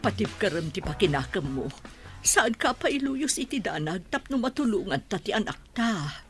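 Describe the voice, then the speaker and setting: A woman's voice: medium pitch, very animated, normal speed, fairly bright tone, faint music behind. A woman playing an anxious, hesitant married mother in a comedy radio drama. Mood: worried.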